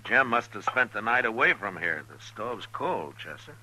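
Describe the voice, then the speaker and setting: An actor playing a calm, steady frontier lawman in a western radio drama, terse and plainspoken. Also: fairly low pitch, moderate pace, heavily acted. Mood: puzzled, watchful. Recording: noisy 1950s radio recording.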